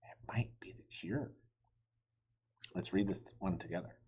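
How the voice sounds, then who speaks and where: A man reading a children's story aloud with expressive narration. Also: low voice, measured pace, slightly rough, whispered, dull audio. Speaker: storybook reader.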